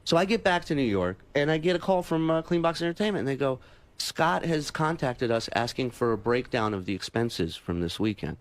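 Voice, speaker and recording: A man's voice: medium pitch, animated, brisk. A man telling heated anecdotes in casual radio talk, exasperated and profane, acting out the dialogue he quotes. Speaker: stand-up comedian and staff guest on a radio show. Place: radio studio.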